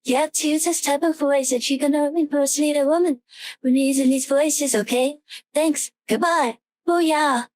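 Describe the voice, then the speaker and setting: Man as a high, dry young woman. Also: fairly even intonation, moderate pace, darkish tone, no room sound. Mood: chatty. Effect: AI voice changer.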